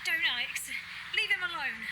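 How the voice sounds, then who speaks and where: A woman's voice: very high voice, lively intonation, moderate pace. An actress in an audio drama playing a frightened, pleading woman cowed by a violent man. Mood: terrified, pleading. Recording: very noisy, distant field recording.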